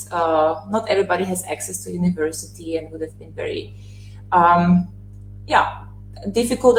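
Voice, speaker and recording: A woman's voice: medium pitch, echoey room, slight background noise, rough recording. A woman in her thirties, born in Serbia and raised in Austria, telling her life story as a guest on a video call, with many fillers and restarts. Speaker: interview guest. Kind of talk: casual conversational storytelling.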